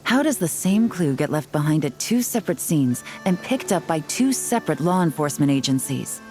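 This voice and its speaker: An actress playing a shrewd, calm woman operative in a video game, speaking with deliberate confidence. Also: low-pitched, faint music behind. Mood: pondering. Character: cool, assured adult female operative, shrewd and calm.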